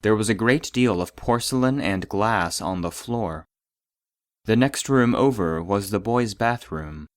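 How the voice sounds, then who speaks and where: A man's voice: fairly low pitch, measured pace, no room sound, clean studio sound, close to an everyday voice. A man playing a formal database voice in an audio drama, reading scripted exploration logs in a clinical, detached manner. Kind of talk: scripted narration.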